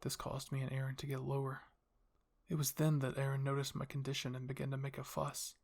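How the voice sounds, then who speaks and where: Husky, creaky man narrating ASMR fiction. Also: mid-range voice, monotone, a little fast. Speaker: narrator and roleplay performer. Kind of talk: first-person ASMR story narration.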